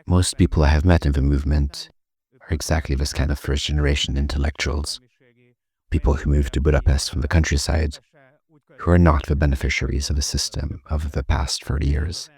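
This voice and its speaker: A man with a Hungarian accent speaks slowly and deliberately at a conference panel. His voice is extremely deep and husky, with very little rise and fall. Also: darkish tone. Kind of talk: formal conference talk. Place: conference hall.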